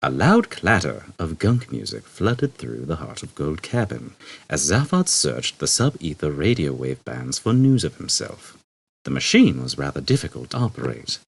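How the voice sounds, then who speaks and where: A man reading scripted comic science fiction as an expressive audiobook narrator. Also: rumbling low, normal speed, vocal fry, breathy edge. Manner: expressive storytelling.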